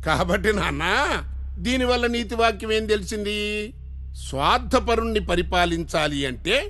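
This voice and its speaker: An actor playing a cynical, exasperated politician in a film, ranting colloquially with rhetorical questions. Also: male voice, sits high, animated, normal speed, fairly bright tone, faint music behind. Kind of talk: film dialogue monologue.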